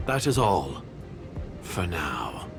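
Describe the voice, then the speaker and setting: A calculating villainous agent in a video game. His low voice is slow and condescending, formal in its wording, with music underneath. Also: very animated, gravelly, heavily acted. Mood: calm, cautioning. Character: cold, disdainful male covert agent, calculating villain.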